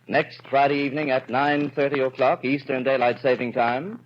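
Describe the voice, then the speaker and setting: American man, mid-pitched, formal network announcer of the 1930s, dull and crackly vintage radio sound. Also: steady tone, moderate pace. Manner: formal, enunciated delivery. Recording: noisy, crackly, band-limited 1930s radio recording.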